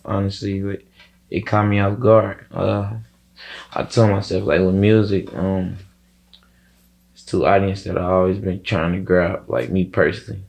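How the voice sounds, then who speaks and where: A man's voice: low-pitched, level tone, a little slow, gravelly, darkish tone. A young man with a Chicago accent talking casually as an interviewed rapper, rambling with plenty of slang and swearing. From Chicago, USA.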